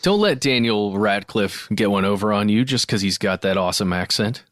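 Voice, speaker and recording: A man's voice: fairly low pitch, animated, normal speed, a bit bright, no echo, clean studio sound. A man co-hosting a podcast, chatting casually with informal, loose phrasing. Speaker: podcast co-host. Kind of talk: casual chat.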